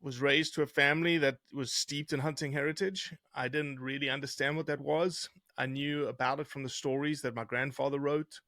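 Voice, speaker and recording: A middle-aged man from South Africa with a softened South African accent, telling his story conversationally as an interview guest. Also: medium pitch, fairly even intonation, fairly quick, hardly any pauses, no room sound, studio quality. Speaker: interview guest, conservation scientist.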